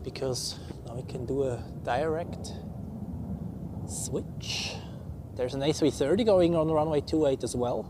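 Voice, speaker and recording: A man with a Swiss accent giving relaxed, chatty live commentary on arriving and departing planes as a plane-spotting host. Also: medium pitch. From Switzerland. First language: Swiss German. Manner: chatty, relaxed, thinking aloud. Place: outdoors beside an airport runway. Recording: outdoor aircraft noise. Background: aircraft and vehicle noise.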